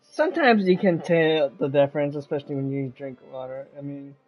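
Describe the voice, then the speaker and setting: A woman's voice: sits low, lively intonation, brisk. A woman giving casual, chatty, playful live commentary as she streams a game. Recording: dull, lo-fi mic. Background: game music.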